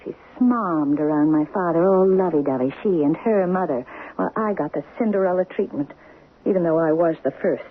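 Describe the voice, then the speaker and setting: Actress as a husky, bitter scheming woman. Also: fairly low pitch, moderate pace, lightly acted. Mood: bitter, venomous. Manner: pointed, sardonic, demanding. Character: bitter, domineering, scheming half-sister and secret lover. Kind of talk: 1970s radio drama acting. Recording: thin, phone-like old radio recording.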